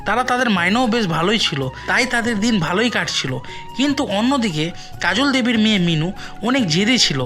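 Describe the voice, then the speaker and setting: A woman's voice: fairly high pitch, animated, quick pace, fairly bright tone. A woman reading scripted storybook narration for a cartoon moral tale. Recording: music behind the voice.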